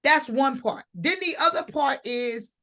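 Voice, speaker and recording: A woman's voice: high voice, unhurried, sharp tone. Earnest rambling woman hosting a panel. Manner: rambling, earnest, many restarts. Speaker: host. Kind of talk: casual panel talk.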